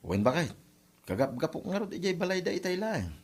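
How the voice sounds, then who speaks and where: A man's voice: high-pitched, sing-song, a little fast, exaggerated voice. A man playing a practical, agreeable married man with an Ilocano accent, chatting in a conversational drama. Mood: earnest, chatty.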